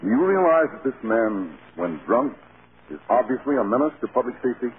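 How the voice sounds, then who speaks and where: An actor playing a grave, reproving court judge with a clipped, formal delivery in 1930s radio style. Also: male voice, mid-range voice, very animated, fairly quick, lightly acted. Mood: stern. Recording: scratchy, tinny 1930s radio broadcast.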